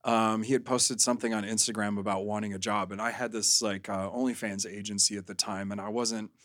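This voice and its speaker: A man with a fairly low voice talks as a guest in a casual interview. The sound is dry and close, with little room around it. He tells his stories candidly and in a loose, rambling way. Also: steady tone, brisk, fairly bright tone, no echo. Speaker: podcast guest, adult content creator.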